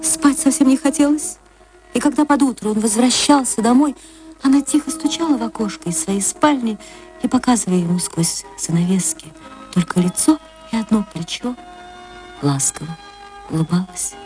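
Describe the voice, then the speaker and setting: A high-pitched female voice gives an expressive literary reading, the work of an actress telling a story on radio. Soft music runs beneath the narration. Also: normal speed. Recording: music under the voice.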